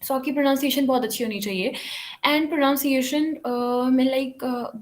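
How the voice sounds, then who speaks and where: A woman's voice: sits high, unhurried. A woman giving an online English class, mixing Urdu and English with frequent fillers. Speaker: English teacher.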